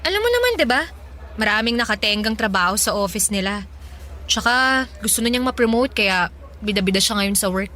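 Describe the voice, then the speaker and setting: A woman's voice: mid-range voice, animated, a little fast, sharp tone, slight background noise. A woman playing a lovelorn, clingy and defensive young woman in a radio romance drama.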